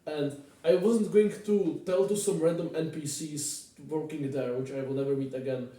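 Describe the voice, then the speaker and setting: A man's voice: on the high side, fairly even intonation, normal speed, mellow. A man from the Czech Republic with a Czech accent, telling stories casually in a rambling, repetitive, informal way. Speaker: vlogger. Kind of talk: rambling casual storytelling to camera. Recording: very echoey room, distant microphone.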